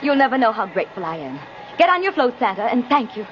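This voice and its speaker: An actress playing a brisk, harried woman organizer in a 1950s radio drama, businesslike and emphatic. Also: on the high side, very animated. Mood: relieved, wry. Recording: muffled, noisy 1950s radio recording.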